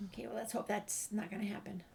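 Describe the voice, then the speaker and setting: A woman's voice: mid-range voice, steady tone, quick pace, mellow. A woman playing a video game and talking casually, reading dialogue choices aloud. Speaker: game player and streamer. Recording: clear background noise, clicks.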